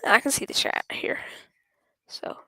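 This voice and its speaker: A woman's voice: unhurried, pushed and bright, dry recording, whispered. A woman livestreamer chatting casually and unscripted, thinking aloud in a scattered, apologetic way. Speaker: live streamer.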